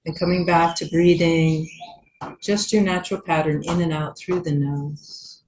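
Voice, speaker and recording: Woman, low voice, yoga teacher, guided meditation, gentle and unhurried, long pauses, light background noise and clicks. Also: fairly even intonation, poor audio. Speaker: yoga teacher leading a yoga nidra class.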